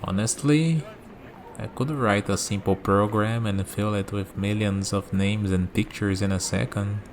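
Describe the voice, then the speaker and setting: A man playing a calm, curious villain in a scripted ASMR roleplay, musing and dryly teasing as he talks. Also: on the low side, unhurried, slight background noise. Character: calm, curious young male villain bargaining with a death god.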